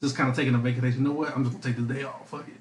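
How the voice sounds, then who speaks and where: Flat-voiced slangy man in an echoey room. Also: medium pitch, racing, a little hiss or hum. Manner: flat, blunt, slangy, profane. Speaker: podcast co-host. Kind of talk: casual chat.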